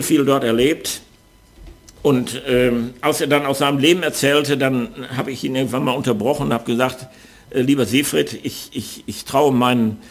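An older man speaks in a medium-pitched voice with plenty of fry and gravel. He tells anecdotes as in a talk, phrasing deliberately and repeating words for emphasis. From Wuppertal area, Germany. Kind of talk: anecdotal storytelling to an audience.

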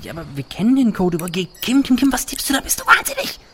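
A woman's voice: fairly high pitch, huge pitch swings, fast talker, fairly bright tone, a little hiss or hum. A woman voicing a jumpy, dramatic teenage boy adventurer in a radio play. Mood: excited. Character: excitable teen boy Ben, adventurer. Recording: echoey room.

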